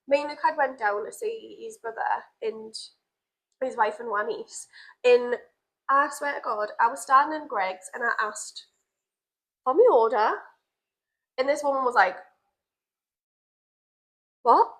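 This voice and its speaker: A woman's voice: a little high, a little slow, long pauses, a bit bright, poor audio. A young woman with a Geordie accent co-hosting a casual chat, telling rambling stories and laughing a lot. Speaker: podcast co-host.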